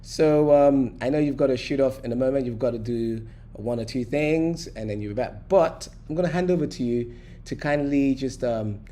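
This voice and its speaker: Male host of an online session, chatty and joking, mid-pitched voice, on a video call. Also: warm tone. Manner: chatty, joking asides, frequent fillers.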